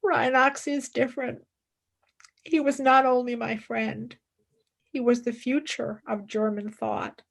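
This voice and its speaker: A young wartime nurse and philosopher played by a woman speaks in a fairly high voice. She takes her time, halting and stressing her words with earnest, grieving gravity, as in a serious radio play. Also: fairly even intonation, vocal fry. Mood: sad, wry. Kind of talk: dramatic radio play acting.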